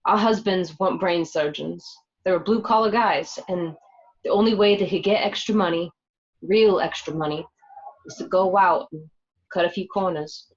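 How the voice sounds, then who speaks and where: A woman's voice: lowish voice, moderate pace, natural voice. A woman acting a candid, uneasy young gangster's wife in a radio play. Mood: matter-of-fact. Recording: slightly dull home recording.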